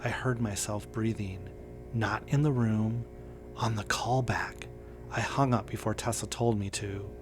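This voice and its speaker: A man reading a scripted first-person horror story in a measured, understated way. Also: fairly low pitch, music in the background. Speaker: narrator.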